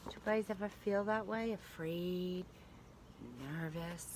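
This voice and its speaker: A woman preschool teacher reading a storybook aloud to young children, gently and reassuringly, with chatty asides. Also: lowish voice, fairly even intonation, a little slow, noisy background. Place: at home.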